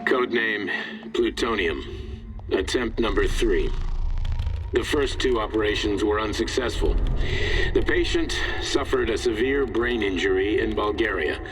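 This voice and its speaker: An actor playing a grave scientist who dictates clinical experiment records in a formal, measured way, heard as an echoey, noisy recording. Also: male voice, lowish voice, music in the background, natural voice. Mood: cold, detached. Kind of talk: scripted clinical log narration. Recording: echoey distant playback with loud noise.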